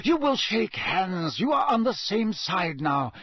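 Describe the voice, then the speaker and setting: A man reading aloud and voicing a wise, elderly headmaster with a husky, measured, formal delivery. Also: sits high, sing-song, no room sound, pitched above the performer's own voice, exaggerated voice. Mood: commanding. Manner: measured, commanding, gently formal. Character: old wise male headmaster, calm authority.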